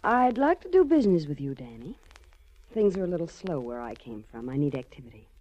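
On a lo-fi 1940s radio recording, a seductive American underworld queen speaks. She is poised and teasing, with quiet menace and very varied intonation. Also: deep voice, very animated, a little fast. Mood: coolly teasing. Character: cool, seductive female gangland boss, tall and dark. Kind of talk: 1940s radio crime drama acting.

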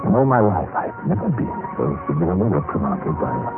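Bass-voiced deceased clerk narrator for vintage radio play, grave, measured, mournful, over prominent music. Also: male voice, sing-song. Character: meek, dead Russian clerk mournfully telling his own story. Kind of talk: 1950s radio drama narration. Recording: muffled old radio recording with music.

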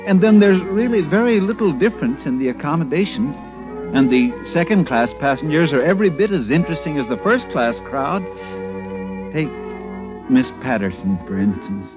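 An actor playing a timid, fussy, earnest store clerk in a 1940s radio drama. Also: male voice, a little high, close to an everyday voice. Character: timid, prim department-store drapery clerk narrating his cruise. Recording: muffled old radio recording with music behind.

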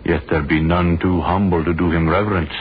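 A man's voice: low-pitched, steady tone, a little fast, slightly rough, phone-quality sound. An actor playing a reverent biblical wise man, theatrical and archaic, in 1930s radio style. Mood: awed, urging. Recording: thin, very noisy 1930s radio recording.

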